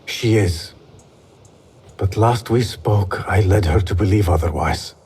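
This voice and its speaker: An actor playing a troubled young assassin, earnest and guarded, speaking with quiet intensity. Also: male voice, on the low side, a little slow, breathy edge. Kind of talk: game cutscene acting. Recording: loud score under dialogue.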